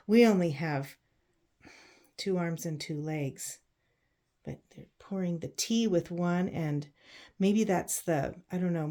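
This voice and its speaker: A woman reads a children's picture book aloud, pausing to explain simply and put questions to her young listeners. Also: fairly low pitch, dark tone. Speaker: read-aloud storyteller for children. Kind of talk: picture book read-aloud with chatty asides.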